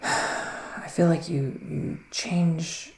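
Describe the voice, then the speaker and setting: A man speaking softly in an ASMR roleplay as a psychic witch, often near a whisper, musing slowly with little hums. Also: fairly high pitch, fairly even intonation, vocal fry, roomy sound, rough recording. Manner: slow, hesitant, musing, with murmured hums. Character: gentle, mystical male witch giving readings. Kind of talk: soft-spoken ASMR roleplay, partly whispered.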